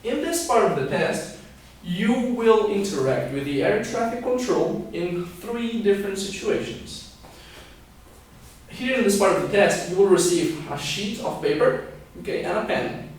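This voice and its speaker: High-voiced young man teaching, very echoey room. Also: lively intonation, unhurried. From born in Scotland, raised partly in Brazil. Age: 20s. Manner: explanatory, with frequent checking words like okay. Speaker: English teacher and pilot giving a lesson. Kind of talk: instructional video lesson to camera. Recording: very echoey room, distant microphone.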